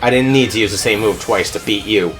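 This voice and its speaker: A man's voice: fairly low pitch, a little fast, fairly bright tone, soft background music, low-quality recording. A man hosting a gaming video with casual, chatty and playful gameplay commentary.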